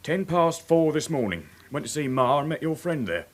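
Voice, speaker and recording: An actor playing a young working-class suspect, cocky and defensive, answering glibly in a radio crime drama. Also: male voice, on the high side, sing-song, normal speed. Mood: cool, obliging.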